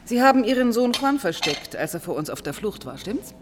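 An actress playing a pensive, dogged police inspector in a German radio crime drama, reflective and often hushed. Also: female voice, mid-range voice, very animated, a little fast, over music, natural voice. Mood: probing. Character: pensive, dogged female police inspector, narrating her investigation.

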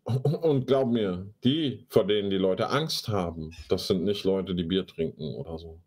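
Man, low voice, sarcastic, slangy, talk show host, casual commentary. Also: measured pace, darkish tone. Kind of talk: casual commentary with mocking read-aloud.

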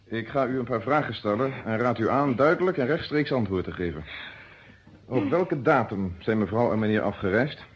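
An actor playing a stern, methodical police inspector in a radio drama, speaking in an insistent, precise and formal way. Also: male voice, mid-range voice, lively intonation, moderate pace, husky. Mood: stern, pressing. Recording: dull muffled old radio drama recording.

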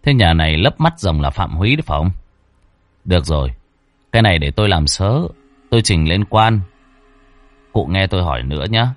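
A man voicing a calm ritual master who settles the spirit and questions it in a brisk, matter-of-fact way. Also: deep voice, no echo, voice pushed down.

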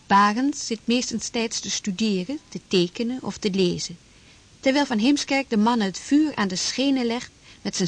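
A woman narrating a radio drama from a script. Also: medium pitch.

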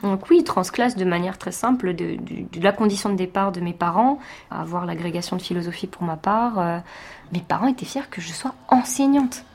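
A woman's voice: lowish voice, a little fast, darkish tone. A woman from France, a teacher, giving a reflective personal testimony in an interview, speaking thoughtfully with many hesitations.

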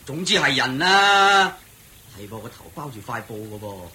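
A man's voice: medium pitch, sing-song, moderate pace, sharp tone. An actor playing a curious, good-natured male traveller in a Cantonese radio drama, questioning and exclaiming in a Hong Kong accent. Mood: surprised, reasonable. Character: curious, good-natured clansman traveller, eager and plain-spoken.

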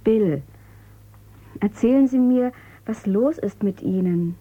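A woman's voice: medium pitch, takes it slowly, narrow, tinny audio. An actress playing a defiant, cool-headed girl of eighteen in a radio drama, with a pert, questioning manner. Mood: pleading. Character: defiant, cool-headed eighteen-year-old female space tourist. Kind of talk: German radio drama acting.

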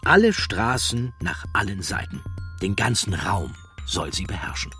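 A man with a husky voice delivering scripted documentary narration. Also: fairly low pitch, very animated, a little slow, pushed and bright, faint music behind.

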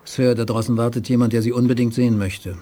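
A man's voice: medium pitch, flat delivery, fast talker, mellow, slight background noise, natural voice. An actor playing a formal, deferential male military aide making a report in a 1980s German radio play. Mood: dutiful.

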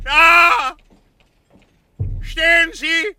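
Pilatus, a stern Roman governor shouting. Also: male voice, very high-pitched, faint music behind, full character voice. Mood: proclaiming. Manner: shouted, slow, measured proclamation. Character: stern Roman governor proclaiming a verdict to a crowd. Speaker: Roman procurator. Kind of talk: radio drama acting.

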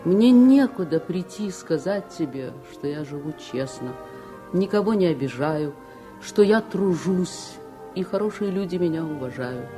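Adult daughter recalling childhood, heartfelt, measured, dramatic radio narration, music underneath. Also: female voice, fairly low pitch, expressive. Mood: solemn, grieving. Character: grown daughter narrating her childhood, mournful, sincere.

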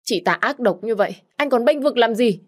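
A woman voicing a jealous young wife who pleads and accuses in a shrill, raised voice. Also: animated, moderate pace, natural voice. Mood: shrill accusing.

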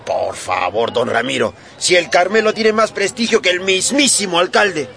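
An actor playing an indignant, loyal supporter, protesting in disbelief in a radio drama. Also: male voice, sits high, expressive, moderate pace, gravelly, fairly bright tone, full character voice. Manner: incredulous, protesting. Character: indignant, loyal defender of an old fighting cock. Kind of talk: Spanish-language radio drama acting.

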